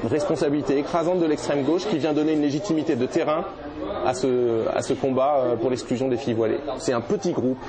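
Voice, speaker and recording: A man's voice: medium pitch, low-quality recording. A man giving political commentary in a documentary interview, hesitant with many fillers and restarts.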